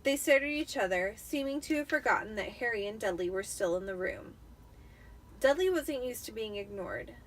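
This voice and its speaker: A woman's voice: on the high side, lively intonation, moderate pace, distant mic, rough recording. A tipsy woman doing an amateur, dramatic reading of a story as narrator. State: tipsy from wine. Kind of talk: dramatic audiobook-style storytelling.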